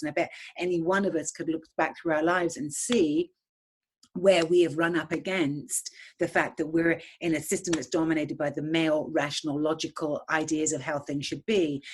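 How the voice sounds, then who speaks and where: Low, husky woman speaking fervently. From England. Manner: fervent, speaks with conviction, often repeats words while searching for them. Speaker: podcast guest. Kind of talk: impassioned conversational podcast talk.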